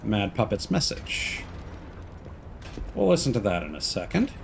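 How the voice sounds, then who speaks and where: A man with a fairly low voice is streaming a video game. He comments casually on what he finds and reads notes from the game. There is faint noise behind him. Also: wildly swooping pitch, a little slow, gravelly. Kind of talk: casual let's-play commentary, reading notes aloud.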